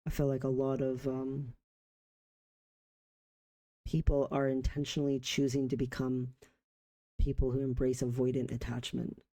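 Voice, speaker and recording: Dark, gravelly man of forty, even-toned. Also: medium pitch, low-quality recording. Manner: halting, reflective, short phrases with pauses. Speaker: vlogger. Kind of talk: personal reflective vlog talk.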